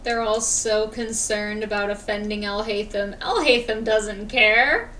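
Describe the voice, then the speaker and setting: A woman streamer commenting live on a game. She speaks slowly in a high, bright voice, slightly away from the mic with faint noise. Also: distant mic, low-quality recording.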